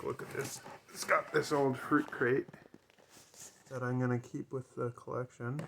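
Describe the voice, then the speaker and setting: A man's voice: mid-range voice, a bit bright, slight background noise. A man giving a casual, unscripted show-and-tell as a collector, hesitant and rambling, often trailing off mid-thought. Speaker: record collector and seller showing items.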